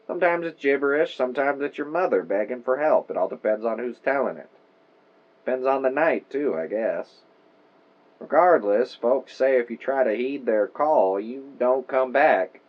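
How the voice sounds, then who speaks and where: A man's voice: mid-range voice, muffled recording. An actor playing an old small-town storekeeper in an audio drama, wry, friendly and folksy in his phrasing. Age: older.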